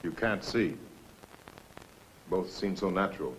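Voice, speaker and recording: An actor playing a steady, practical, middle-aged merchant seaman in a 1960s film, speaking tersely. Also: low-pitched, measured pace, a little hiss or hum, poor audio. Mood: businesslike. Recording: 1960s film soundtrack, music underneath.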